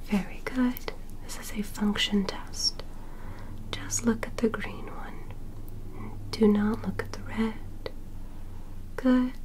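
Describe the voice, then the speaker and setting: Woman as a slow, whispering alien examiner. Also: on the high side, fairly even intonation, low-quality recording. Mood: soothing, clinical. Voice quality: soft, breathy whisper. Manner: slow, deliberate, short instructions with simple praise. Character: gentle, curious female alien medical examiner. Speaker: ASMR performer playing an examiner. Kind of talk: whispered ASMR medical roleplay.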